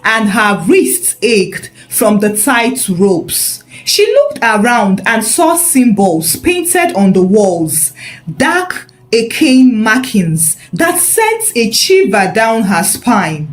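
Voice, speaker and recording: A woman narrating a scripted story in a measured, expressive, dramatic way. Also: a little high.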